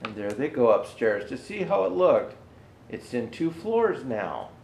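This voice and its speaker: A man reading a children's storybook aloud as Santa, reading haltingly and pausing for asides to show the pictures. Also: mid-range voice, sing-song, creaky, darkish tone, reverberant, a little hiss or hum. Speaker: storyteller presenting as Santa Claus.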